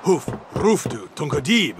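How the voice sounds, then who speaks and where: A man playing a gruff salvage crewman in a video game, barking orders in an invented language. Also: on the high side, big pitch swings, measured pace, background noise. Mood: urgent.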